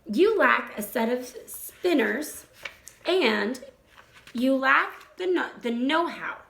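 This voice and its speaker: A woman's voice: high voice, animated, slow pace, vocal fry, a bit bright, full character voice. A woman voicing a wise, encouraging female spider in a storybook, patient and instructive. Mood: consoling, matter-of-fact. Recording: roomy echo.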